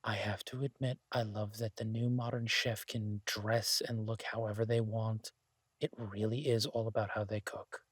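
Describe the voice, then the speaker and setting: A man playing a laid-back, idealistic chef in an audio roleplay, talking casually with hesitant pauses and mild swearing. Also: fairly low pitch, flat delivery, slightly rough, dry recording. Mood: earnest, opinionated. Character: laid-back, idealistic male chef, devoted to honest food. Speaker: chef and restaurant owner character in an audio roleplay. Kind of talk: intimate conversational audio roleplay.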